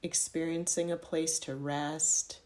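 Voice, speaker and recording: A woman guiding a yoga nidra meditation in a measured, instructional way, offering gentle directions. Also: deep voice, little pitch movement. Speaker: meditation guide.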